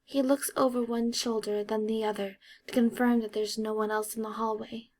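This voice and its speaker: A woman's voice: on the high side, level tone, normal speed, a little mellow. A woman narrating an audiobook, reading scripted fiction as dramatic storytelling.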